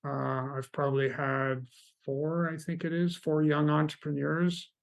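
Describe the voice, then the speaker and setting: A man from Canada, a guest in an interview, telling stories about mentoring in a relaxed, meandering way. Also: on the high side, measured pace, vocal fry. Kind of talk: casual interview chat.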